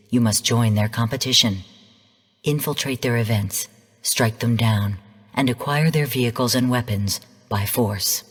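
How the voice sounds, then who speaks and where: Cool, commanding AI mentor in long reverb. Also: female voice, very deep voice, slightly rough. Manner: measured, formal, cool, precise. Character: female artificial intelligence mentor, calm, stern, commanding, cryptic. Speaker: game guide and mission narrator. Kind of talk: scripted video game mission briefing.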